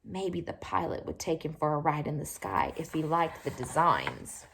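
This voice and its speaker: A woman reading a children's picture book aloud in an expressive, animated way, with little asides to her listeners. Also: deep voice, normal speed, gravelly, a little hiss or hum. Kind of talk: storybook read-aloud to young children.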